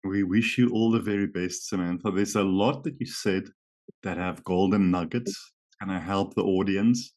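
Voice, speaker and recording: A man's voice: deep voice, steady tone, moderate pace, a little mellow. A middle-aged man, a native Afrikaans speaker, hosting an interview in a chatty, friendly way. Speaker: interview host. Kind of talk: conversational interview.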